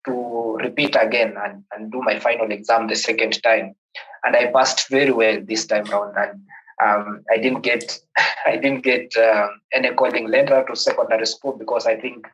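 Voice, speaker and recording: A man's voice: medium pitch, a bit bright, dull audio. A man from Kenya, with a Kenyan accent, in his thirties, telling a podcast host his life story in a reflective way and often repeating words as he begins sentences. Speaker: guest.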